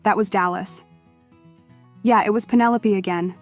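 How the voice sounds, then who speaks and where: A woman playing a guarded, no-nonsense but fair-minded woman in an animated web series, with a dry, measured manner. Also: mid-range voice, soft background music, close to an everyday voice. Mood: weary, annoyed.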